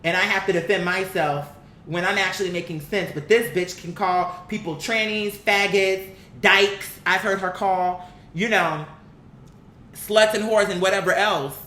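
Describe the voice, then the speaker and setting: Bright-voiced, blunt commentary vlogger in an echoey room. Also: medium pitch, steady tone, unhurried. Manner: blunt, emphatic, slangy and profane. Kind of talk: casual opinionated commentary, unscripted talk to viewers. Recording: echoey room, bright sound, faint background noise.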